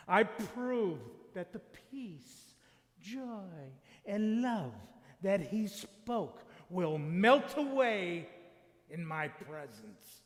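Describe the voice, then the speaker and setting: A man playing Death as a cold, boastful victor in a church dramatic reading, declaiming theatrically with long pauses. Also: on the high side. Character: Death personified, gloating, cold, boastful victor over all men. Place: large church sanctuary during a service. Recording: echoey hall with long reverb.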